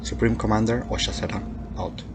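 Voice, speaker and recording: A man's voice: fairly low pitch, steady tone, fairly quick, natural voice. A man voicing a stern alien military supreme commander who delivers a formal, clipped, authoritative message in a dramatic reading. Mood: grave, commanding. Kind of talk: dramatic radio transmission reading. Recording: noisy hum behind a transmission.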